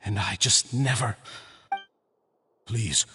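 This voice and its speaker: A man's voice: fairly low pitch, slow, pushed and bright, in a large hall, slight background noise. A man voicing a desperate, haunted convict in an anime game, stammering and pleading. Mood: stunned, pleading.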